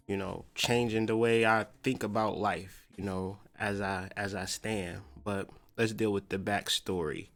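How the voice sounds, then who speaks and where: A low-pitched American man hosts a podcast in an informal, slangy way. He muses in a conversational stop-and-start monologue, with faint music under his voice. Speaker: podcast host. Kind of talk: loose conversational monologue on myth and spirituality.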